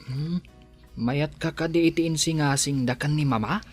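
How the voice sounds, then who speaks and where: A man playing a devoted, gentle and earnest young married son in a radio drama. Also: on the high side, moderate pace, faint music behind, natural voice. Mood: tender.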